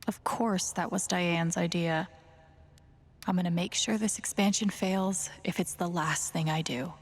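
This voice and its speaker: A woman's voice: on the low side, steady tone, unhurried, slightly rough. An actress playing a sardonic, indignant young woman sleuth thinking aloud in a video game, wry and muttering. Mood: suspicious, then grim resolve. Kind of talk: naturalistic game inner monologue. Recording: long reverb on voice.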